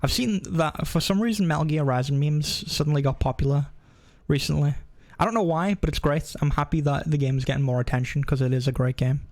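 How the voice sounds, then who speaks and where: A man's voice: a little high, fairly quick, hardly any pauses, a little mellow, no echo. A man chatting casually on a live game stream, rambling and often interrupting himself.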